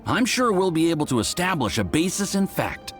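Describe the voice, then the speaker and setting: An actor playing an eager, deferential young research assistant in an anime game, earnest, excitable and polite. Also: male voice, fairly high pitch, brisk. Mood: excited. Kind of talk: anime game character acting. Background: faint background music.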